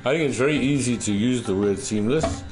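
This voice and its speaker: A middle-aged man, a visiting winemaker, explaining his wines in a halting way with many fillers and restarts, seated at a table in a wine cellar. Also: mid-range voice, over music, low-quality recording.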